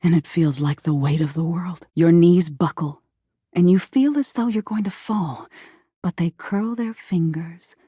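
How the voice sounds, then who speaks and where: A woman voicing a living nightmare in a video game, an ominous yet tender figure who speaks slowly in a hushed, breathy, husky voice, like an intimate storyteller. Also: lowish voice, no room sound, studio quality. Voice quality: husky, breathy, often near a whisper. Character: ominous, tender female living nightmare, a dreamy existential dread. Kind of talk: scripted dramatic game narration.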